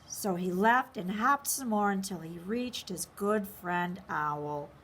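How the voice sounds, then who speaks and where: A woman's voice: mid-range voice, slow, fairly bright tone, a little hiss or hum. A woman reading a children's picture book aloud expressively, speaking directly to young listeners. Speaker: story reader.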